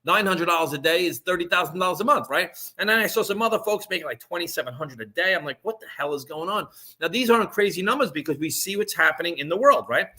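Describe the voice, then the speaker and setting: A man talks loosely to a live audience, stumbling through restarts and tossing in "right?" every few lines. His voice sits slightly high for a man and rings bright and forceful. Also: animated, fast. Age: 50s. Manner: conversational, rambling with frequent restarts and "right?" checks. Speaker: online business presenter. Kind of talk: live-streamed sales pitch.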